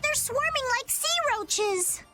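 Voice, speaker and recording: A woman's voice: extremely high pitch, lively intonation, slow, pushed and bright, faint music behind, full character voice. A woman voicing a sweet, earnest, sheltered young girl in a video game. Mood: anxious, earnest. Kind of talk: English video game voice acting.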